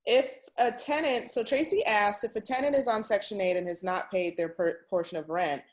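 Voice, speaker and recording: A woman's voice: medium pitch, normal speed. A woman hosting an informal online session, conversational and often thinking aloud as she adds comments and relays questions. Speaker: host.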